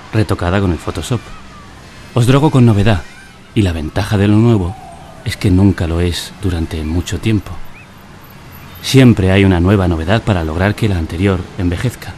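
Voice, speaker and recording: An actor playing a cynical, provocative adman in a satirical radio drama, speaking in a deliberate, declamatory way. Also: sits low, darkish tone, slight background noise, lightly acted. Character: cynical, self-confessed adman narrator, coolly provocative. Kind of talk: radio drama monologue and parody ad voice-over.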